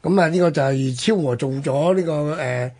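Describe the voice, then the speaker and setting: Male history host, Hong Kong Cantonese, slightly high and husky, conversational storytelling in broken-up phrases. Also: moderate pace, a little mellow. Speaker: radio history programme host.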